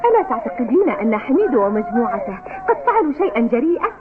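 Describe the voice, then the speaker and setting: An actress playing an opinionated, expressive schoolgirl in an Arabic cartoon dub. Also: high voice, racing, very noisy. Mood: skeptical. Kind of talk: dubbed cartoon acting in formal Arabic. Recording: tinny old TV dub with music.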